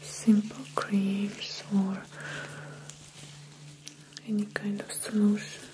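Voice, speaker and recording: A young woman plays a soothing medical professional in an ASMR role play. Her voice is soft, breathy and often whispered, with little rise and fall and a slow, pausing delivery. She is recorded up close in a room, with clear noise behind her. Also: mid-range voice, monotone, rough recording. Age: young adult. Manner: slow, gentle, soothing, with pauses. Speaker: ASMR performer playing a dermatologist. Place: small indoor room.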